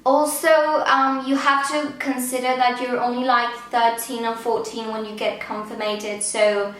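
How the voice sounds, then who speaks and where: A woman's voice: a little high, little pitch movement, unhurried, fairly bright tone, very echoey, low-quality recording. A woman of twenty-one, answering viewer questions in casual chat, with many "um" and "like" fillers. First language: Danish. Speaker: vlogger.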